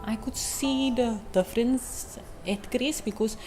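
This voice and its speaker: A woman's voice: a little high, measured pace, a little mellow. A South African woman whose first language is Afrikaans, in her thirties, a maths tutor and mother giving an earnest, unscripted testimonial with frequent hesitant ums. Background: music.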